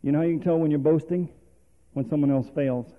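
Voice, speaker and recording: A man's voice: a little high, fast. A male preacher, delivering a sermon full of rhetorical questions and calls for response. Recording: tinny phone-like sermon recording.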